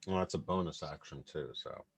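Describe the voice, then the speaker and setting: A man's voice: very deep voice, brisk, vocal fry, slightly rough, dry recording. A man running a tabletop roleplaying game as game master on an online call, talking casually. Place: indoors on an online group call. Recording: online call with faint background noise.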